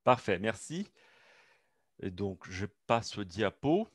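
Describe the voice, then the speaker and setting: A man's voice: lowish voice, sing-song, takes it slowly, no room sound. A man speaking French as a second language, giving a seminar talk over a video call, hesitant with many fillers and restarts. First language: not French. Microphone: computer mic on a video call.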